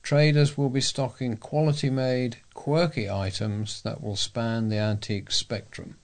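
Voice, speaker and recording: A British man reading local news and letters aloud as a volunteer, in a measured way. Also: mid-range voice, level tone.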